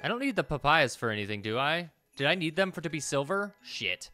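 A man's voice: fairly high pitch, big pitch swings, brisk. A man in his thirties giving casual gameplay commentary, rambling and thinking aloud as he plays. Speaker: let's play host. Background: game music.

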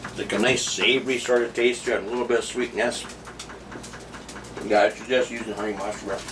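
A man's voice: lowish voice, fairly even intonation, brisk. A middle-aged man casually chatting as he cooks and tastes food in his home kitchen, rambling and offhand, with many restarts. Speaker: food vlogger. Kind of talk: casual cooking and tasting vlog. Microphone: camera mic set back in the room. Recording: distant camera mic with background noise.

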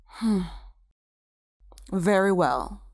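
A stern, formal royal mother, acted by a woman in a fairy-tale audio drama. She speaks slowly and with stately care, in a close, dry recording. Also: medium pitch, expressive, creaky, a little mellow, dry recording, heavily acted. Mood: displeased.

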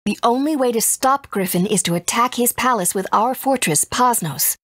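A woman's voice: on the high side, normal speed, fairly bright tone, no room sound, studio quality. A woman playing a composed, authoritative guide in a video game, serious and explanatory. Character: composed, authoritative woman guiding young heroes.

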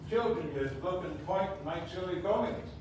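A man's voice: high voice, lively intonation, normal speed. A man playing a sad, timid lion in an amateur pantomime, reading his lines plaintively. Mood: dejected. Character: timid sad male lion lacking courage. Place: community room with seated audience, far camera. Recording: distant, echoey, noisy hall recording.

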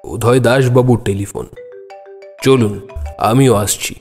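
A man plays a shrewd amateur detective in an audio drama. He is self-assured and speaks briskly with authority, over music. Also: medium pitch, fairly even intonation, darkish tone, close to an everyday voice. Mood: brisk, commanding.

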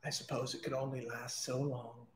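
Man doing a quiet, ominous big devil. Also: medium pitch, little pitch movement, moderate pace, pitched below the performer's own voice, lightly acted. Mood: cold menace. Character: big male devil, cold ruler of hell.

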